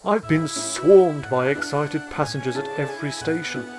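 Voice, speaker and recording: A man voicing a big, gentle, sensible male engine who speaks in a calm, mild way. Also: a little high, normal speed, music in the background, pitched above the performer's own voice, natural voice.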